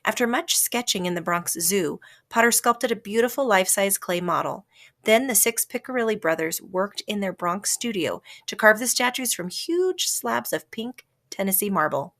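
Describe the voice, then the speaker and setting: Expressive woman reading a children's story. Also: medium pitch, moderate pace. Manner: dramatic, varies voice and pace for effect. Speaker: storybook reader.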